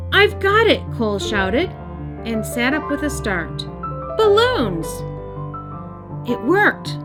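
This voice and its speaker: A woman reading a story aloud expressively for young children. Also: on the high side. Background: music.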